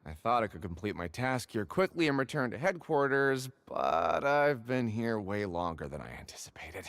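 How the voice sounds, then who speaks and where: Fussy, flustered salesman, lively intonation. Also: on the low side, normal speed, a little hiss or hum. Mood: upset, indignant. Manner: flustered, fussy, theatrically indignant. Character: anxious, aggrieved male drinks salesman, wordy and fussy. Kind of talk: video game voice acting.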